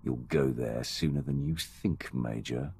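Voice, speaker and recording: An actor playing an arrogant, cold Sith warrior commander in a video game, with an imperious, threatening delivery. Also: male voice, unhurried, warm tone, exaggerated voice. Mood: cold threat. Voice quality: deep, gravelly. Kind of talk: video game cutscene acting.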